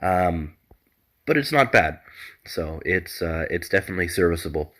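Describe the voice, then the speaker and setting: Deep-voiced congested man reviewing a game. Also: normal speed. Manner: relaxed, many ums, self-deprecating. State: congested, nasal. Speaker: gaming channel host. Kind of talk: casual game review commentary.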